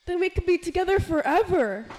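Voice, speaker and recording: A man voicing an aging woman, weary and tender, in a soft pleading falsetto. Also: lively intonation, brisk. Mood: hopeful.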